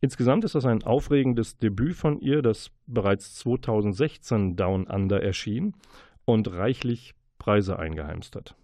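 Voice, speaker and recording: Man, radio presenter, low voice, deliberate and playful wording, book talk moderation, dry close sound. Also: mellow, no echo. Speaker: radio book show host. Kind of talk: book review and interview moderation.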